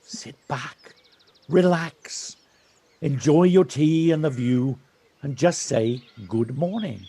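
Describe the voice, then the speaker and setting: A man playing a kindly, teasing village local in an audio drama, with a husky voice and an encouraging manner. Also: a little high, takes it slowly, warm tone, heavily acted. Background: birdsong.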